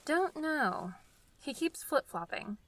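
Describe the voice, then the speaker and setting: A woman's voice: high-pitched, big pitch swings, takes it slowly, creaky, a bit bright, exaggerated voice. A young woman acting in a radio drama as a practical, loyal travel companion, dry and slightly prim. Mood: dry, deadpan.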